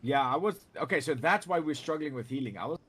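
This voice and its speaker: A man's voice: fairly high pitch, expressive, fast talker, background noise. A man chatting casually with teammates during a game, loose, slangy and sweary. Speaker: gamer on a team voice chat. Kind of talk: casual gaming banter. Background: video game music.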